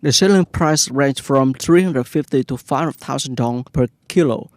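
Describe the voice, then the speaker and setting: A man's voice: medium pitch, moderate pace, no room sound. Vietnamese-accented male newsreader, close dry sound. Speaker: news presenter. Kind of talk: scripted news reading.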